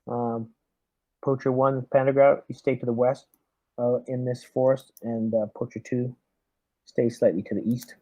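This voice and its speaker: A man playing a tabletop roleplaying game as squad leader, giving deliberate, military-style orders. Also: mid-range voice, flat delivery, no echo, muffled recording. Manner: deliberate, military briefing phrasing. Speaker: tabletop RPG player leading the squad as lieutenant.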